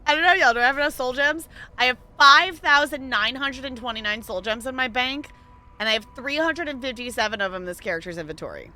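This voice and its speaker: A woman's voice: sits high, fast, sharp tone. A woman streamer chatting playfully and rambling through casual live commentary on a game.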